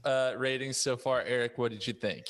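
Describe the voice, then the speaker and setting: A man's voice: mid-range voice, fairly even intonation, moderate pace, a bit bright, long reverb tail. A man hosting a casual roundtable discussion on a podcast. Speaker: podcast host.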